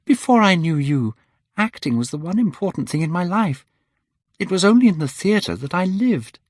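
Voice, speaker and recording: A man's voice: sing-song, normal speed, darkish tone, no room sound. A man voicing a tender, desperate young woman who is a stage actress, in a dry audiobook recording. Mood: pleading. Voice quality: high, pleading.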